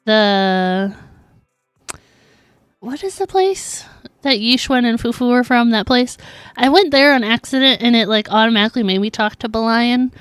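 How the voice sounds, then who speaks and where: A woman's voice: a little high, expressive, normal speed, a bit bright, smooth, dry recording, soft background music. A woman livestreaming a game, talking casually in a chatty, giggly, informal way. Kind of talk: casual live gaming commentary.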